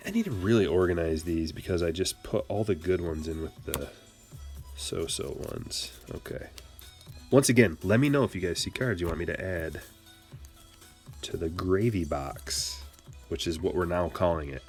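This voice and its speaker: A man's voice: sits low, expressive, moderate pace, faint music behind. A man from Michigan hosting a casual card-break livestream, joking and rambling as he chats with viewers. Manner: playful, joking, rambling banter with chat. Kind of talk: casual livestream chat while opening cards.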